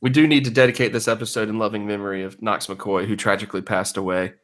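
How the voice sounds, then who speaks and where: A man's voice: fairly low pitch, fairly even intonation, fast talker, fairly bright tone. A man co-hosting a podcast in casual, mock-earnest banter with his friends.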